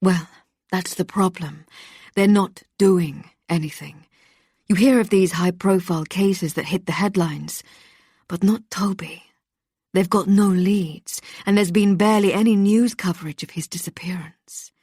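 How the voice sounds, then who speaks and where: A woman voicing a calm, relaxed adult male kidnapper with a Russian accent in an audiobook. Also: fairly low pitch, unhurried, breathy edge, no echo, studio quality. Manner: relaxed, even and controlled. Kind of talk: audiobook character voice.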